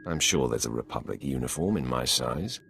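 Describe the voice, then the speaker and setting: An actor voicing an imperious, menacing dark lord in a video game, with a haughty, commanding delivery. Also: male voice, very deep voice, lively intonation, fairly quick, creaky, faint music behind, heavily acted. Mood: cold, commanding. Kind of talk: scripted game dialogue.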